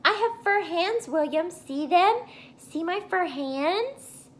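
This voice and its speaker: A woman voicing an excitable, boastful little schoolgirl in a children's storybook, with childish grammar and gushing emphasis. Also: very high voice, slow pace, pitched above the performer's own voice, heavily acted. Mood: gleeful showing off. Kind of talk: first-person children's storybook read-aloud.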